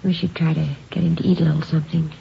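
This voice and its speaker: An actress playing a worried, gentle mother in an old radio drama. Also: low-pitched, level tone, rapid-fire, gravelly. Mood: gentle, coaxing. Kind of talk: 1950s radio drama acting. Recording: dull, noisy old radio recording.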